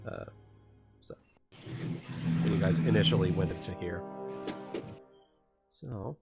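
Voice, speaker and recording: A man acting as game master, narrating a tabletop game casually in a halting way. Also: sits low, creaky. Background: loud music.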